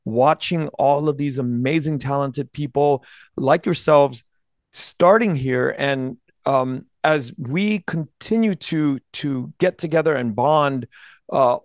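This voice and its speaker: A man's voice: mid-range voice, dry recording. A man in his forties hosting an interview podcast, speaking earnestly with frequent restarts into a close microphone. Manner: earnest, halting, many restarts. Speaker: podcast host. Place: home studio room with bookshelves. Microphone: headphones and studio mic close up.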